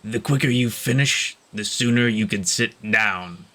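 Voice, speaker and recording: A man voicing a stern, angry warrior cat in an amateur audio story, with a gravelly growl. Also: fairly low pitch, unhurried, pushed and bright. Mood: stern, scolding.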